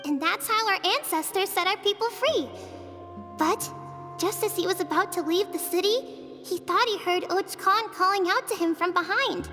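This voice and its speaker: An eager young village girl from a video game, very high and childlike. She tells her tales earnestly, with long reverb and music behind her. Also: moderate pace. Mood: earnest narrating.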